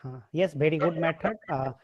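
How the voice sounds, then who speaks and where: A man's voice: fairly high pitch, fast, muffled recording, a loud crowd. A man with an Indian accent teaching a maths class online over a computer mic, switching between English and Hindi and repeating his points.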